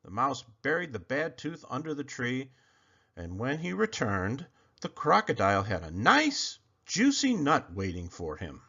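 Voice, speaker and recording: A man reading a children's picture book aloud in an expressive, animated storytelling manner. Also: mid-range voice, unhurried, gravelly, breathy edge. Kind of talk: children's read-aloud narration. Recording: slightly muffled recording.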